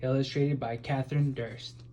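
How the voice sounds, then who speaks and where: A person reading a children's picture book aloud with expressive, measured narration. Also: medium pitch, rough recording. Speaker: storybook reader.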